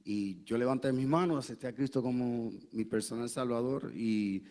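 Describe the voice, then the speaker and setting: A man, a pastor, tells his faith testimony in a church interview in an earnest, halting way, pausing on many fillers and also interpreting his wife's words. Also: medium pitch, mellow. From Puerto Rico. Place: church sanctuary.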